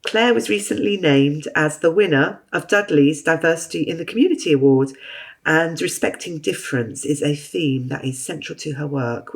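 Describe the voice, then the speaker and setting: A woman hosting a podcast interview, a little hoarse from a cold, encouraging her guest. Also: sits low. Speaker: podcast host.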